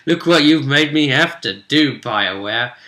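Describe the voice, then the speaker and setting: A man casually rambling through a recap of a tabletop role-play session as its game master, jokey and animated, often interrupting himself. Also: medium pitch, measured pace. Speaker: game master and vidcast host. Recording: echoey, roomy sound.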